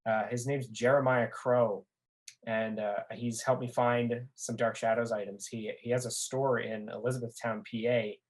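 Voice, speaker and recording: An androgynous-sounding speaker chats casually as a fan guest on a podcast. The delivery is earnest and a little halting. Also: medium pitch, little pitch movement, poor audio. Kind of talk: casual chat.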